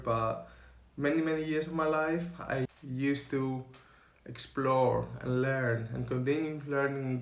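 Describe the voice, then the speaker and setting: A young man with a Greek accent, talking earnestly to camera in slow, halting speech as he searches for words. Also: mid-range voice, vocal fry, reverberant. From Greece. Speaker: vlogger.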